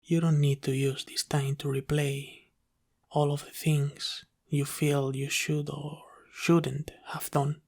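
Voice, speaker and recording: A man playing a gentle, caring sleep paralysis demon who soothes his human in a soft-spoken, reassuring ASMR roleplay. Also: on the high side, little pitch movement, slow, gravelly, exaggerated voice. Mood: soothing, encouraging.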